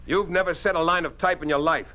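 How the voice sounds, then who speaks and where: An actor playing a principled, outspoken newspaper editor in a 1950s radio drama, with an emphatic, oratorical American delivery. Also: male voice, on the high side, fairly even intonation, fast, sharp tone. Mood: accusing. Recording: dull lo-fi 1950s radio recording.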